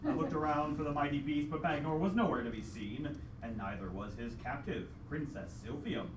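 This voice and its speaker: Man acting a high-pitched melodramatic heroic adventurer. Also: a little fast, natural voice. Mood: brave, dramatic. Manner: melodramatic, solemn storyteller. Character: heroic male adventurer knight narrating his dragon quest. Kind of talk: old-time radio adventure play. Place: live theatre stage with audience. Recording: echoey live hall, distant mic, noisy.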